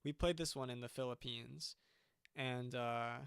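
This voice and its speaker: Smooth, flat-voiced young man with gravel. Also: medium pitch, no echo, studio quality. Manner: halting, restarts often. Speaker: singer and band frontman. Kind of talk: casual livestream chat.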